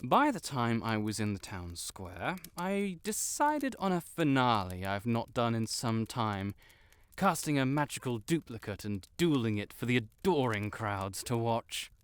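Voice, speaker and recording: A man playing a vain, stuck-up young wizard with a secret soft spot, pompous and theatrical, in a roleplay audio drama. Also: medium pitch, sing-song, unhurried, clean tone. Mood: boastful. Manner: pompous, theatrical, haughty, flustered outbursts. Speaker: voice actor.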